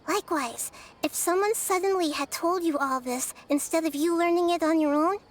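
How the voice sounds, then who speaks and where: A woman voicing a small, girlish young goddess who explains things gently and patiently in a video game. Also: very high voice. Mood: gentle, explanatory. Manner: measured, explanatory. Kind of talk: video game character acting.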